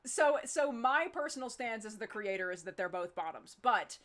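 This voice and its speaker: A woman's voice: fairly high pitch, expressive, moderate pace, a bit bright. A woman in a casual, unscripted group chat, rambling as she explains things and often saying "like". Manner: rambling, frequent "like". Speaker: show creator on a cast Q&A.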